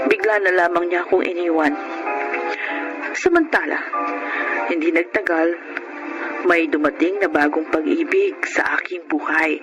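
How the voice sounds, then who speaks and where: A romantic, trusting young woman played by an actress in a radio drama. Her delivery is tender and emotive, with drawn-out phrases. Loud music plays behind her. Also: fairly low pitch, lightly acted, poor audio. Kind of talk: radio drama acting with confessional narration. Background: prominent music.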